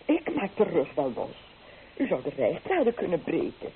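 On a thin, hissy old radio recording, a performer plays a fawning, effusive dressmaker with a theatrical, very animated delivery. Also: mid-range voice, moderate pace, narrow, tinny audio, close to an everyday voice. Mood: gushing, fawning. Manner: gushing, obsequious, theatrical. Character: fawning, effusive dressmaker gushing over clients. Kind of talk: radio play acting.

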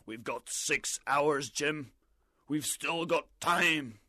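A man voicing a frightened old sea captain in an audiobook, warning slowly and fearfully. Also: a little high, sharp tone, dry recording, studio quality, voice pushed up, heavily acted.

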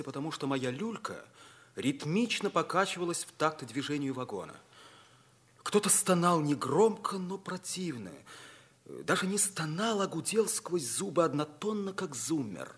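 An actor playing a proud, stern wounded Soviet pilot captain in a theatrical 1950s radio drama, with a husky voice. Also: male voice, fairly high pitch, a bit bright, heavily acted. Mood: somber, brooding. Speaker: actor narrating in first person and playing the lead. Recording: old radio play recording.

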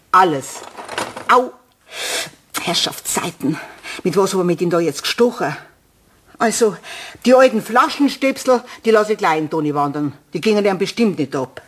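A woman's voice: medium pitch, a little hiss or hum, natural voice. An actress playing a bustling, grumbling cleaning woman with a Bavarian accent in a German children's radio play. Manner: grumbling, brisk, chatty.

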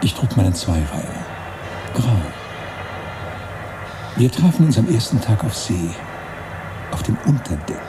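An actor playing a wistful, romantic writer-narrator in a literary radio drama, musing deliberately in short phrases. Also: male voice, on the low side, very animated, noisy background. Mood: wistful, nostalgic. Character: wistful romantic male narrator-writer recalling his emigration voyage. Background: music.

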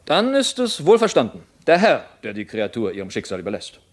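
An actor in a radio play as a cold, insistent court schemer with formal, deliberate stage diction. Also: male voice, medium pitch, wildly swooping pitch. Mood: coldly insistent. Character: calculating, guarded male court schemer, coldly insistent. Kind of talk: German radio play acting.

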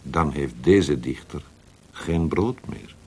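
An actor playing a worldly, scheming Italian poet in a radio drama, with an Italian accent, a gravelly, husky voice and a theatrical, halting delivery sprinkled with Italian words. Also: male voice, rumbling low, darkish tone, exaggerated voice. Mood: persuasive.

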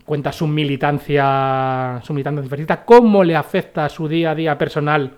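A man's voice: on the high side, fairly even intonation, brisk, smooth. Chatty man co-hosting a radio show. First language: not Catalan. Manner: chatty, rambling with restarts. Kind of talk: casual radio chat reviewing books.

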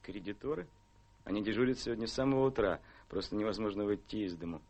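An actor playing a worried, weary gentleman gambler husband in a radio play, glum and dryly ironic. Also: lowish voice, fast, lo-fi sound. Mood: anxious, gloomy. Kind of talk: theatrical radio play acting.

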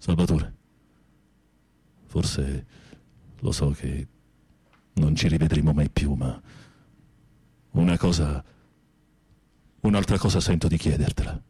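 An actor playing a tormented, fearful, lovesick former teacher in prison in a radio drama, husky and pleading, with a poetic, theatrical delivery. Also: male voice, very deep voice, takes it slowly, long pauses, warm tone, no echo, exaggerated voice. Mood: sorrowful. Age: middle-aged. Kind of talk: intimate radio drama acting.

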